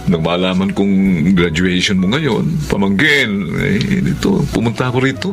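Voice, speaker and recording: An actor playing an effusive middle-aged uncle who talks haltingly and repeats himself, in a radio drama. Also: male voice, low voice, vocal fry, music in the background. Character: effusive, congratulating uncle of a young graduate.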